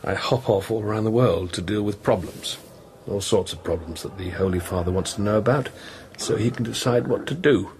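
Low-voiced, brooding priest, played by an actor. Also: male voice, darkish tone, a little hiss or hum, natural voice. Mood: gentle, musing. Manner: brooding, halting, intense, repeating phrases. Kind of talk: radio drama acting, interior monologue and dialogue.

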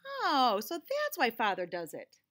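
A woman's voice: high-pitched, wildly swooping pitch, measured pace, fairly bright tone, full character voice. Woman reading as an earnest, inquisitive young boy. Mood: curious. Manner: questioning, earnest.

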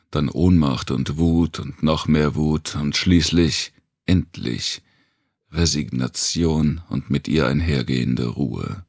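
A man with a gravelly, husky voice, giving a brooding, deliberate first-person audiobook narration of dark fiction. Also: rumbling low, no room sound, clean studio sound.

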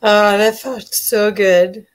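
Unhurried middle-aged woman hosting a call. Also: medium pitch, fairly even intonation, smooth. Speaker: host. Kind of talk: casual interview talk. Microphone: video call.

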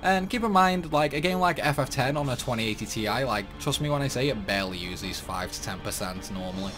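A man's voice: mid-range voice, brisk, music in the background. Chatty man commentating gameplay. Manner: chatty, rambling, animated. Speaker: gaming YouTuber.

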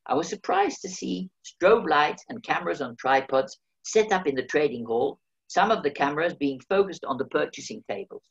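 A man's voice: on the high side, animated, moderate pace, creaky, few pauses, muffled recording. A man, reading his own memoir aloud over a video call with dry, wry humor. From England. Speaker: memoir reader.

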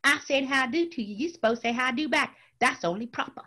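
A woman's voice: sits high, fast, bright, forceful tone, pitched above the performer's own voice. A woman voicing a sly, indignant male rabbit trickster from a folk tale, prim and huffy, then pleading.

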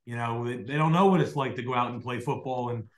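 A man's voice: medium pitch, fast, reverberant. A young man hosting a podcast interview over a video call, talking with lots of fillers and restarts. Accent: South Jersey. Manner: rambling, many fillers and restarts. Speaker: podcast host. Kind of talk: casual interview. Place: dim home room at night. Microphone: large desk mic on video call.

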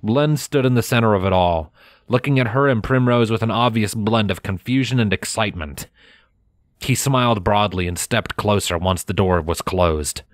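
A man reading scripted fiction as a narrator, measured and expressive in his storytelling. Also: lowish voice, no room sound, studio quality. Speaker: narrator of a fan fiction audiobook. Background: music.

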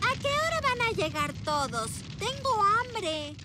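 A woman's voice: very high voice, big pitch swings, unhurried, loud music behind the voice, heavily acted. A woman with a Latin American Spanish accent voicing an eager little child. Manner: eager, squealing.

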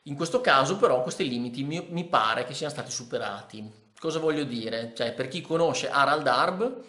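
A man's voice: medium pitch, animated, normal speed, fairly bright tone. Thoughtful man in an echoey room. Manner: hesitant, many fillers and restarts, thoughtful. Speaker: ski vlogger reviewing a book. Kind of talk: casual spoken book review to camera. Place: living room indoors. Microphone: camera in front of face.

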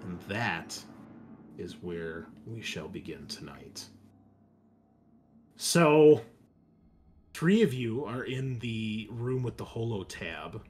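Low-voiced man running a tabletop game. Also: lively intonation, slow pace, pauses often, a little mellow, husky. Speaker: game master. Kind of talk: casual tabletop game narration.

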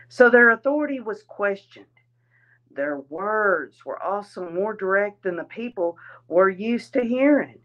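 A woman reading a written text aloud in a halting way, breaking phrases into short pieces. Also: fairly low pitch, fairly bright tone. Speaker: reader of a written account. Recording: dull, lo-fi sound.

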